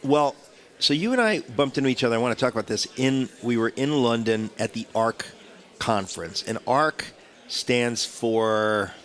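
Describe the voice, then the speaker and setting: A man's voice: medium pitch, moderate pace, fairly bright tone. A man with a cold and a stuffed-up voice, hosting a radio talk show in a rambling, chatty way. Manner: rambling, chatty, many restarts. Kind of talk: casual radio interview chat. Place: radio studio.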